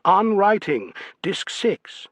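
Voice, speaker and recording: A man's voice: high voice, sing-song, slow pace, no echo. A man reading a scripted announcement as an audiobook announcer.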